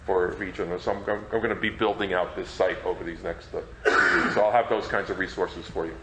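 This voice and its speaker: A man's voice: low voice, fairly even intonation, a little fast. A man explaining informally as a presenter during a question-and-answer session at a talk. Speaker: panel presenter, radio program collector. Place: echoey convention meeting room. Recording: roomy echo with faint noise.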